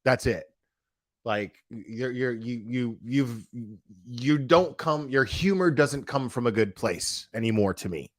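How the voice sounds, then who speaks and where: A man's voice: medium pitch, lively intonation, measured pace. A man ranting in a casual reaction video, blunt and profane, with lots of restarts and slangy fillers. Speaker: online commentator.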